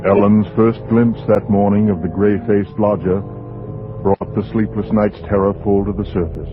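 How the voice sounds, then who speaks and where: An actor narrating a 1940s radio suspense drama in a measured, dramatic way, with weighty pauses. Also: male voice, on the low side, fairly even intonation, over music, natural voice. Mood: tense, brooding. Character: grave male narrator of a suspense tale. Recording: muffled 1940s radio recording.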